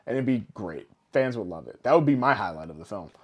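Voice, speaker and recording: A man co-hosting a podcast in casual, animated chat, swearing freely. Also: on the low side, fast talker, husky, lo-fi sound. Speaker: podcast co-host. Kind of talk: casual chat about games and films.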